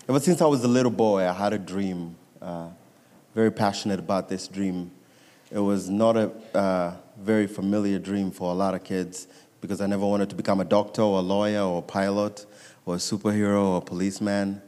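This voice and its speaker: A soft-edged, dark male voice with a Kenyan accent rings through a large church hall. It sits slightly low as he shares personal testimony, repeating key phrases to drive the story home. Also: fairly even intonation, a little fast. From Nairobi, Kenya. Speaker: guest speaker giving testimony. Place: church sanctuary, amplified to a congregation. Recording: echoey large hall reverb.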